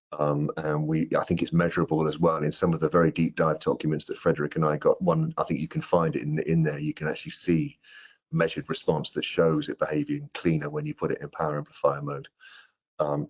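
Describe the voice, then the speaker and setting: Very deep-voiced man on a muffled call. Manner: hesitant, repeats words while explaining. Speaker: product presenter answering audience questions. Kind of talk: informal technical Q&A. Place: indoor room on a video call. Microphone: video call microphone. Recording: dull, band-limited video-call audio.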